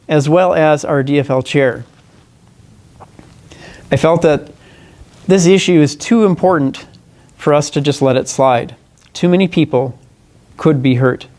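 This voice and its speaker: A man delivers a formal statement as a candidate at a press conference. His voice sits a little high for a man. He speaks earnestly and deliberately, sometimes stumbling and correcting himself as he reads or explains. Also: darkish tone. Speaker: congressional candidate. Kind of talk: press conference statement, partly read aloud.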